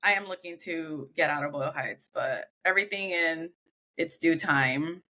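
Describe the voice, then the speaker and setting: American female podcast guest, very bright lowish voice, casual conversational delivery. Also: normal speed. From Los Angeles, United States.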